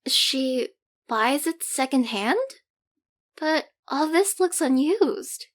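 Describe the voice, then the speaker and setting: Girl playing a blunt but sweet-natured woman, higher pitched, slowish, kinda clueless, audio drama. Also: expressive. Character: blunt, inexperienced, good-hearted woman named Nira. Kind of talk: audio roleplay drama acting.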